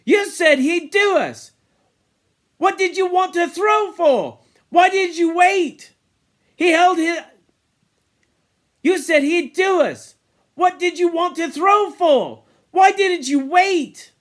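A man voicing a bossy, scolding boy who leads young hunters, forceful and accusing, in a novel reading. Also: extremely high pitch, monotone, moderate pace, few pauses, pitched above the performer's own voice.

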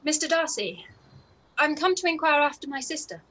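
Actress doing a determined young lady, period drama, high lively crisp delivery. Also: normal speed. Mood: polite, firm. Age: young adult. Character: spirited, determined young gentlewoman. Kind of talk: period radio play acting. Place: room at home, on a video call.

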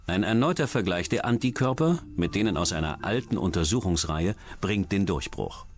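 A man reading scripted documentary narration about science and crime in a measured, dramatic, clearly enunciated way. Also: low-pitched, lively intonation. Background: music.

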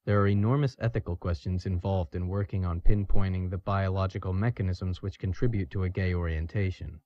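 An actor playing a grave, authoritative expert explaining things in an audio drama. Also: male voice, low-pitched, fairly even intonation, brisk, dull audio, natural voice. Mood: grave, explanatory.

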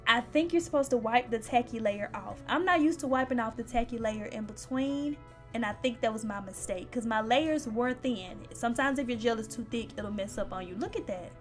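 A woman's voice: fairly high pitch, a little fast. A young woman narrating a beauty vlog, chatty and playful, talking straight to her viewers. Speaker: beauty vlogger. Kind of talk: casual voice-over narration. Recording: faint background music.

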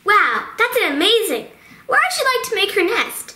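A woman's voice: very high-pitched, very animated, normal speed, noisy background. A woman voicing a perky, girlish puppet who hosts a children's talk show in a sweet, eager manner. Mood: delighted. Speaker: host. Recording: roomy echo.

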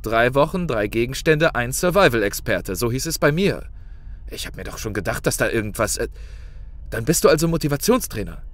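A man's voice: medium pitch, animated, fairly quick, natural voice. A man playing an anxious but friendly reality-show contestant in a horror audio drama, coaxing and stammering. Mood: uneasy, puzzled.

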